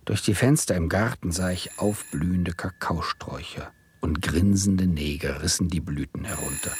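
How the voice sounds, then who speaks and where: Brooding student narrator, low and very husky, muttering obsessively, 1970s radio drama. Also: male voice, darkish tone. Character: brooding, obsessed doctoral student gambling on cocoa. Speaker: narrator and lead character. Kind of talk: 1970s German radio play acting, narration.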